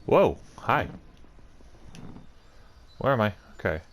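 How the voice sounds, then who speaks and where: A man's voice: lowish voice, big pitch swings, slow. A man doing casual let's play commentary, thinking aloud and muttering to himself as he plays. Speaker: gaming video host.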